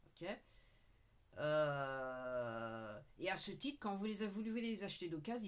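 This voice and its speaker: A woman talking casually to her viewers, rambling with frequent "ok"s and now and then dropping to a murmur. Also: medium pitch. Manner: rambling, repeats "ok", sometimes drops to a murmur; addresses viewers directly. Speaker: video creator. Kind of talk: casual talking-head monologue.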